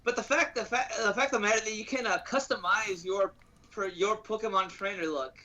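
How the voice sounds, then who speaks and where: A man hosting a casual news rundown, halting and stumbling a little over his phrasing. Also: high voice, sharp tone, low-quality recording. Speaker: podcast host leading the news segment.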